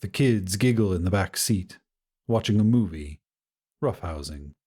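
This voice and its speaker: A man narrating a scripted first-person story in a measured, dramatic way with deliberate pauses. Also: low-pitched, expressive, warm tone, breathy edge. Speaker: audiobook narrator.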